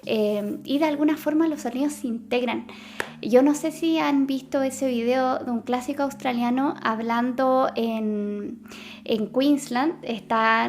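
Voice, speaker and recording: A woman's voice: high-pitched, darkish tone. Chatty Chilean woman explaining science. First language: Spanish. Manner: chatty, many fillers and self-corrections. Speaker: science vlogger. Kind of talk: casual explanatory vlog.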